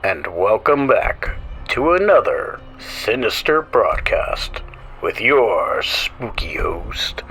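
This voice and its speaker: Slow, spooky-sounding male show host. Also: lowish voice, very animated, bright, forceful tone, in a whisper, rough recording. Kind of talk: scripted horror show introduction. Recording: music behind the voice.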